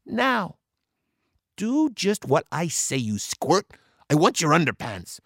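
A man's voice: on the high side, wildly swooping pitch, takes it slowly, vocal fry, a bit bright, no echo, studio quality, voice pushed up, heavily acted. A man voicing a bossy, ruthless female pirate captain and older sister in a comic story. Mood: bossy, threatening. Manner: bossy, commanding.

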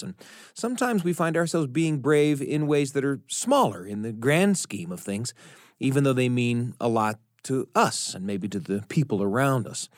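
A man's voice: medium pitch, no room sound, clean studio sound. A man hosting a radio story hour, reading a scripted introduction and stretching out key words for emphasis. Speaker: radio show host.

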